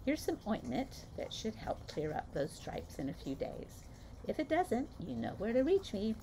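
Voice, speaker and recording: An older woman voicing an excitable male doctor in a storybook read-aloud, rattling off symptoms. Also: a little high, normal speed, slight background noise. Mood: brisk.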